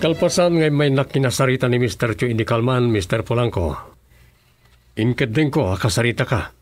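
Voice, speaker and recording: A man's voice: medium pitch, brisk, natural voice. A man with a Filipino accent acting an authoritative governor in a radio drama. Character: male governor, authoritative official giving instructions.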